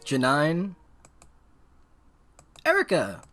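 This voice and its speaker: A man live-streaming a game, giving excitable, casual, slangy commentary and reading on-screen text aloud. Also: a little high, very slow, faint music behind.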